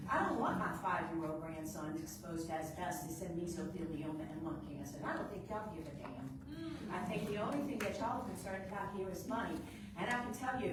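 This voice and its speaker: A woman's voice: medium pitch, noisy background. An older grandmother with a New Orleans accent, giving a blunt, angry public comment in a council chamber. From New Orleans area. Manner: blunt, angry, drawn-out emphasis. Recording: echoey hall from far off.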